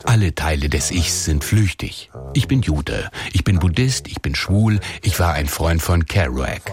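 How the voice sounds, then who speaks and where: A man's voice: sits low, expressive, a little slow. A man giving a dramatic voice-over reading of translated poetry and quotes, with an intense, declaiming delivery.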